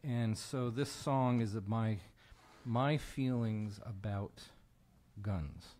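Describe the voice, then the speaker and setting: A middle-aged American man talking conversationally as an interview guest, reflective and pausing as he goes. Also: lowish voice, a little mellow. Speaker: guest singer-songwriter and radio show host.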